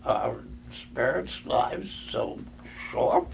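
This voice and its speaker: A man reads as a frightened old miser from a storybook, humbled and pleading. The voice is gravelly and slow, stumbling over words as it begs. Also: medium pitch, big pitch swings, a little hiss or hum, exaggerated voice. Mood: anxious. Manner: halting, stammering.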